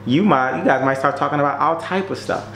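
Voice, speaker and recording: A man's voice: a little high, fast, fairly bright tone. Male presenter, informal how-to delivery, emphatic and direct, repeats key points; echoey room with faint background hum. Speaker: presenter giving business tips. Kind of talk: informal how-to talk, numbered tips. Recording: roomy echo, faint noise and hum.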